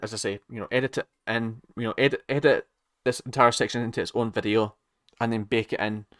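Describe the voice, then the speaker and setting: Bright-voiced man, dry close sound. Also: medium pitch, no echo. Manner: halting, rambling, circles back to repeat himself. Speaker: reaction channel host. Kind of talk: casual reaction commentary.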